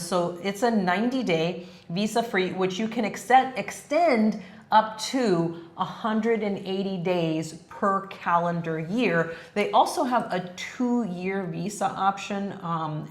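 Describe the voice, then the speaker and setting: Low-voiced woman, Spanish native, echoey room. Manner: friendly, laughs often, many fillers. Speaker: co-host. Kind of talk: casual travel chat.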